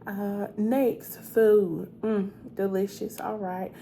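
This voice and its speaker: A woman playing a sweet, chatty, gossipy hotel receptionist in a soft-spoken, confiding ASMR roleplay, repeating her words. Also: medium pitch, flat delivery, slow, a little hiss or hum. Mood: helpful, friendly.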